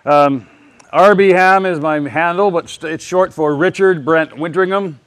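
A man addressing a live town hall audience with a loud, emphatic voice, rambling and often restarting his sentences. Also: fairly high pitch, moderate pace. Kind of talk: public speech to a live audience. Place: public meeting with a live audience.

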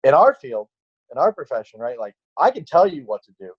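A man's voice: mid-range voice, big pitch swings, brisk, breathy edge. Late-20s male trainer, casual rambling podcast talk, muffled lo-fi sound. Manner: rambling, many fillers and restarts. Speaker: fitness trainer.

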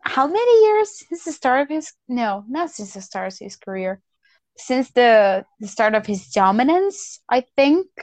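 Slow-talking ranting woman, dry sound. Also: fairly high pitch, no echo. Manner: ranting, blunt and profane, animated. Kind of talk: casual chat.

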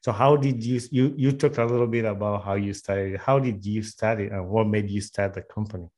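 A man's voice: on the low side, steady tone, a little fast, a little mellow. A man with a Ugandan accent hosting a casual podcast interview, chatty and friendly, often repeating his words. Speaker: podcast host.

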